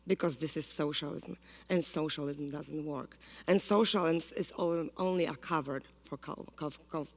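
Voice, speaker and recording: A Polish-born woman with a Polish accent, speaking as a parent during public comment at a school board meeting, earnest and pleading, with halting restarts. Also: fairly low pitch. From Poland. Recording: reverberant hall.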